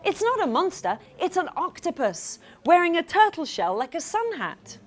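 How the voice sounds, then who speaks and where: A woman children's author reading her story aloud to young listeners in a playful, expressive style. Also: very high voice, normal speed. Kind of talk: animated storytelling to a live audience of children.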